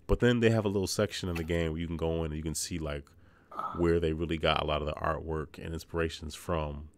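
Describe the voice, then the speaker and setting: Male podcast host, extremely low-pitched, for loose, rambling game reviews with joking tangents. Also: fairly even intonation, fast talker, no room sound.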